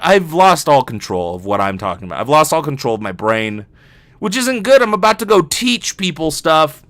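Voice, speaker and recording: A man with a fairly high-set voice talks alone as a podcast host. He rambles casually and jokes at his own expense, swearing as he goes. The sound is close and very dry, with no room echo. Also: fairly bright tone, clear, resonant tone. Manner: self-deprecating, joking, sweary.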